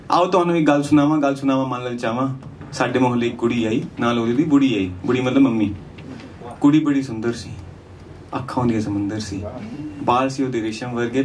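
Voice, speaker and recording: A man performs lyrical stand-up on stage, moving between rhyming verse and conversational asides. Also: mid-range voice. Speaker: stand-up comedian. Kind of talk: lyrical stand-up comedy, rhymed storytelling. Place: small indoor comedy venue on stage. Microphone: handheld microphone. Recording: distant, noisy live stage sound.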